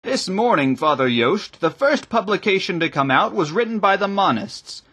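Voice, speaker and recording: A man's voice: high voice, wildly swooping pitch, unhurried, sharp tone, slightly rough, heavily acted. A man voicing an irate, scornful bishop in an audiobook, snarling and barking orders and sarcastic questions. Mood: scornful tirade. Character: irate, bullying male bishop, loud and scornful.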